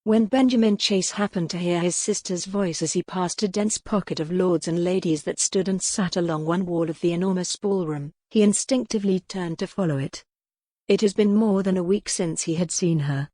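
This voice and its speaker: A woman's voice: on the low side, mellow, no room sound, clean studio sound. A woman reading scripted, dramatic narration for a historical romance audiobook.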